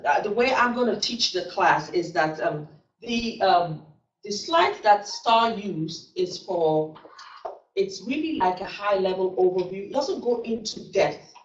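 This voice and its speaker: A woman's voice: mid-range voice, animated, takes it slowly. A middle-aged woman with a Nigerian English accent, teaching a classroom lecture in a chatty way that keeps checking in with "okay". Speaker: instructor. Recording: roomy, distant, dull classroom recording.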